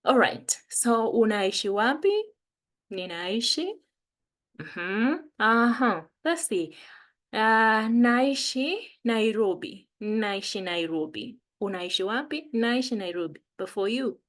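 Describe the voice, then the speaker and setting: A woman's voice: medium pitch, a bit bright. A woman with a Kenyan accent teaching a beginner language lesson, patiently repeating phrases.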